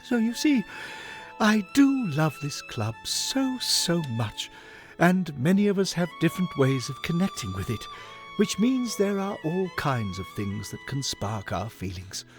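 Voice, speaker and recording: An effusive, elderly Victorian gentleman in an audio story. His pitch swoops up and down as he dithers fussily and sentimentally, full of old-chum endearments. Music plays behind him. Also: very animated, unhurried. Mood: swooning, sentimental. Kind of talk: dramatic audiobook monologue.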